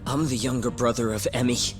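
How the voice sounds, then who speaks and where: A man's voice: mid-range voice, steady tone, lightly acted. An actor in an English game dub playing a sorrowful young man, hesitant and earnest, with music behind. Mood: remorseful, confessional. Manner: hesitant, earnest, halting confession. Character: young man confessing his true identity, sorrowful, avenging brother. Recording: voice over loud music.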